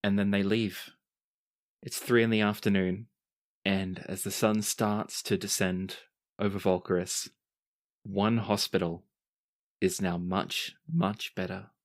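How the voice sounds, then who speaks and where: A game master narrating a tabletop roleplaying game in short, dramatic, unhurried storytelling phrases. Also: sits low, flat delivery.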